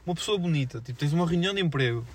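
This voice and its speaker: A young man with a European Portuguese accent and a fairly high voice. He talks very fast in casual, unscripted podcast chat, leaning on slang and filler words. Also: expressive, slightly rough. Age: young adult. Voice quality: slangy, filler-heavy speech. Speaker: podcast co-host.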